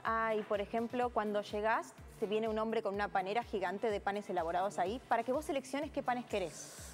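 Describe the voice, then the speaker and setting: A woman's voice: mid-range voice, fairly quick, soft background music. A young woman with an Argentine Rioplatense accent giving a chatty, enthusiastic restaurant review in a casual TV conversation. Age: young adult. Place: TV studio, indoors.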